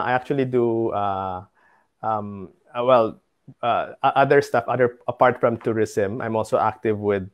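A middle-aged man with a Filipino accent speaks as a guest in an online interview. His voice sits at an average pitch, and he phrases his answers hesitantly, as heard over a video call. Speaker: tour guide and business founder, interview guest. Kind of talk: webinar interview. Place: home study with bookshelves.

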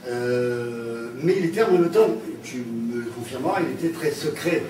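A man's voice: mid-range voice, animated, normal speed, noisy background. Man in a very echoey room, distant mic. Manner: hesitant, many fillers and restarts. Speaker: panel guest recalling an actor. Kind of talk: anecdotal conversation. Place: classroom with chalkboard, indoors.

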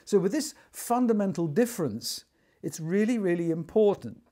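A man's voice: high-pitched, expressive, normal speed, dark tone. A man in his sixties giving an informal technical explanation in an emphatic, storytelling manner. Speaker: loudspeaker designer explaining his craft. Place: small furnished sitting room indoors.

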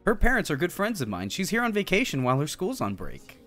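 A man's voice: high voice, wildly swooping pitch, fast talker, a bit bright, heavily acted. Man reading as a friendly game professor. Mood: welcoming, explanatory. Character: friendly male professor, welcoming and explanatory. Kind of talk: reading game dialogue aloud in character. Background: game music.

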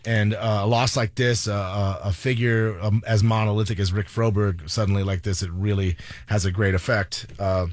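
An American man hosting a radio show, talking off the cuff in an earnest, halting way. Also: on the low side, fairly even intonation, no room sound. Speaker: radio host. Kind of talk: unscripted radio talk, tribute. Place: radio studio.

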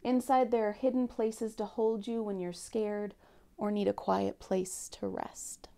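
A young woman giving a gentle Lent reflection at home and reading a picture book aloud, speaking softly and often near a whisper into a lapel mic. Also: medium pitch, little pitch movement, measured pace, creaky. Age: young adult. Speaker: church speaker. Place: home living room, indoors.

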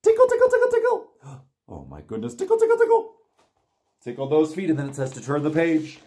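A man's voice: a little high, fairly quick. A man in his forties reading aloud to young children as a storytime host, with an exaggerated, playful, sing-song delivery. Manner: animated, exaggerated, sing-song repetition with gasps. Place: small plain room indoors.

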